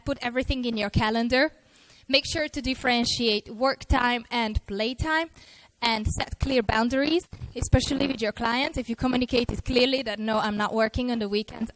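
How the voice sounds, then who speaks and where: Lively woman speaker on a handheld mic. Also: on the high side, no echo, rough recording. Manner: lively, self-mocking, acts out her own inner worries. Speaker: conference speaker. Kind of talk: motivational stage talk. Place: festival stage before a live audience.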